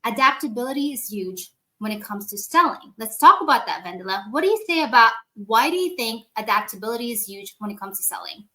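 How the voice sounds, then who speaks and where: Non-native English-speaking woman host, preachy and emphatic, sharp bright tone. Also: a little high, expressive, fairly quick, hardly any pauses. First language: not English. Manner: emphatic, lecturing, addresses listeners directly. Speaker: podcast host. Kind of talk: motivational podcast talk.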